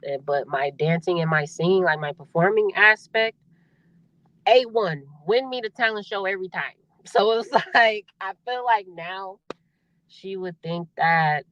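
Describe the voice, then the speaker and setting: A young adult woman with a deep voice, a singer talking casually as a podcast guest, laughing often and repeating herself as she speaks. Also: a bit bright, muffled recording. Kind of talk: casual interview chat.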